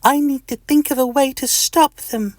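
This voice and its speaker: Tiny high-pitched fuzzy itch creature, acted by a storyteller. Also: steady tone, moderate pace, no room sound, lightly acted. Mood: scheming, earnest. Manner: meepy exclamations, plaintive and earnest. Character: small fuzzy male itch creature, cheerful, fussy, put-upon. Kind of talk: children's storybook character voice.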